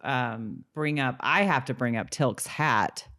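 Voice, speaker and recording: A woman co-hosting a podcast, chatting casually about a TV episode in an animated, jokey way. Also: rumbling low, slow. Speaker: podcast co-host. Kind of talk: casual TV episode recap chat.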